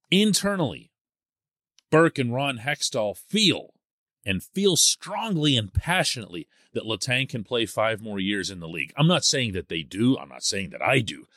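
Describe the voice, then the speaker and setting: A man hosting a sports podcast as a columnist, giving solo commentary in an animated, emphatic way. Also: medium pitch, no echo, studio quality. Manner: animated and emphatic, stresses key words.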